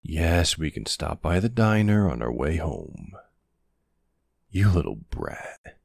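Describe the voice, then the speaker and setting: A man playing a tender, protective older ex-soldier boyfriend with a husky, gravelly voice in an intimate ASMR roleplay. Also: extremely low pitch, big pitch swings, no room sound, studio quality, exaggerated voice. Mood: soothing, protective, then playful, teasing. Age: middle-aged or older character. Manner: affectionate teasing, hesitant pauses, soft reassurance.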